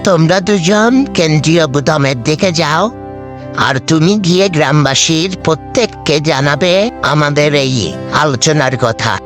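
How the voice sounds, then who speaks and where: A man voicing a calm village headman in a cartoon, speaking in a firm, commanding way. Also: fairly high pitch, close to an everyday voice. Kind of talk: cartoon voice acting.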